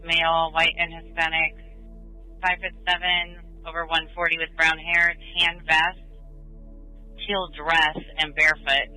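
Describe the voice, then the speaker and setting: A man's voice: fairly high pitch, monotone, unhurried, sharp tone, soft background music, poor audio. A man working as a police dispatcher, speaking in clipped, procedural radio calls over a scanner.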